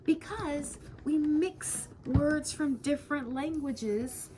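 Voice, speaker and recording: A woman's voice: high voice, takes it slowly, dark tone, slight background noise. A woman teaching a lesson with questions to a student, encouraging and emphatic, drawing out key words.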